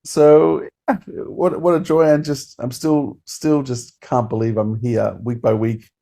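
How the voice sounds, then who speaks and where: Mellow-voiced, informal male podcast co-host. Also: medium pitch, fairly even intonation, measured pace, gravelly. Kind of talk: casual podcast chat, reading credits. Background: acoustic guitar music.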